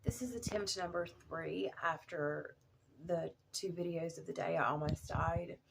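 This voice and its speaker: A woman in her thirties with a cold and a sore throat, talking haltingly in a personal video diary, full of fillers and restarts. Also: fairly low pitch, fairly even intonation, vocal fry, background noise. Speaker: vlogger.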